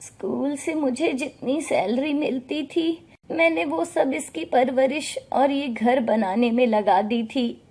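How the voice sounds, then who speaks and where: Casting: gentle elderly teacher and mother, mellow and pleading, for a cartoon bedtime tale woman performer. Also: high voice, slow, full character voice. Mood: wronged. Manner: pleading, meek. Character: gentle, wronged elderly mother, retired schoolteacher.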